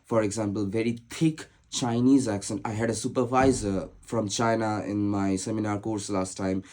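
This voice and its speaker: A man with a light Indian English accent, touched by American and British sounds, thinks aloud in an unscripted monologue. His voice sits at a middle pitch, and he pauses often on "uh" and restarts his phrases as he works through his points. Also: mellow. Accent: light Indian English, mixed with American and British. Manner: thoughtful, with frequent "uh" pauses and restarts. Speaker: vlogger.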